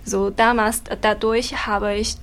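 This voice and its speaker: A woman's voice: medium pitch, little pitch movement, slow. A young woman from China with a Chinese accent, an exchange student answering hesitantly in learner German. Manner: hesitant, self-correcting.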